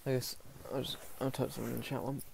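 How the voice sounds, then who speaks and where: Gravelly-voiced English man streaming a game. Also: medium pitch, flat delivery, rapid-fire, background noise. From England. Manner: mumbling to himself, hesitant, fillers. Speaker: gaming streamer. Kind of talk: casual gameplay commentary.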